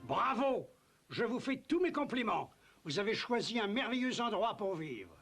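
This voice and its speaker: A noble warrior king for a cartoon. He is gracious and commanding, with formal, grand phrasing. The pitch is high for a man, and loud music runs behind. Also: very animated, normal speed. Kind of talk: French cartoon dub acting.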